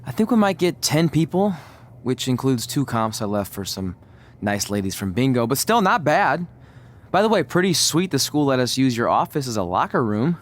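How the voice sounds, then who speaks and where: An actor playing a wry, stubborn young wrestler in a video game, talking conversationally with dry sarcasm. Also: male voice, medium pitch, big pitch swings, moderate pace, natural voice. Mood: wry, casual. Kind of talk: video game cutscene acting.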